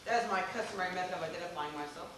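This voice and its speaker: A man's voice: high voice, racing, lightly acted. A man acting a dry, courtly middle-aged father in a stage comedy, formal and wry. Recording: echoey theater, distant camera, faint hiss.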